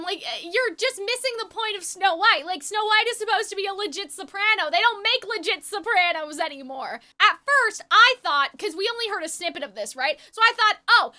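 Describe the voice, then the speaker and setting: A woman's voice: very high voice, pushed and bright. A young woman hosting a casual reaction chat, opinionated and ranting, full of "like". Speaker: host, musical theatre performer.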